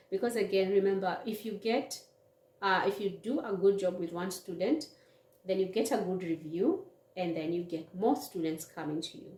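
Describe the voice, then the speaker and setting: A woman's voice: mid-range voice, dark tone. A young adult woman with a Kenyan accent talking casually to camera in an earnest manner, often repeating words and restarting. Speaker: vlogger, English teacher. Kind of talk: casual vlog talk to camera. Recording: echoey room.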